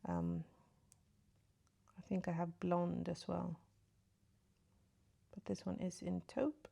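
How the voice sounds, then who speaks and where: A woman chatting casually through a makeup tutorial, speaking softly and often half-whispering, with a slight cold and a sore throat. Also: lowish voice, steady tone, slow pace, creaky, slightly rough. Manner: soft, often half-whispered asides while applying makeup. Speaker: beauty vlogger. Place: at home in front of a mirror.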